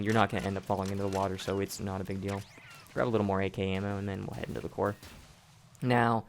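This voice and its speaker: A man with a hoarse, sore throat giving casual commentary over his own speedrun, explaining each step, often restarting his sentences. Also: fairly low pitch, a little hiss or hum. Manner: explanatory, rambling, with frequent restarts and profanity. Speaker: gameplay commentator.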